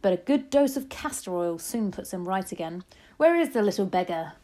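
A woman voicing an angry, blustering father who talks in exasperated shouts in a storybook reading. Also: mid-range voice, animated, moderate pace, natural voice. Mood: scornful.

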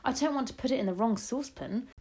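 A woman's voice: on the high side, fast, a little mellow, pitched above the performer's own voice, natural voice. A woman voicing a clever young boy who has been turned into a mouse, earnest and eager.

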